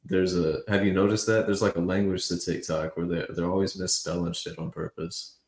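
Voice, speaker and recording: Male podcast guest, deep, slangy and halting; roomy echo on the recording. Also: flat delivery, a little mellow. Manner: halting, colloquial. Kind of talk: casual chat, slangy. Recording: roomy echo, faint background noise.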